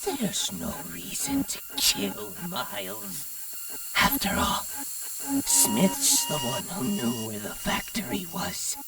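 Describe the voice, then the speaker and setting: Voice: mid-range voice, wildly swooping pitch, gravelly, recorded from a distance, over music, full character voice, low-quality recording. An actor voicing a sinister, coaxing hypnotist villain for a cartoon-style game, drawing the words out hypnotically. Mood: hypnotic, soothing. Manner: slow, drawn-out hypnotic delivery.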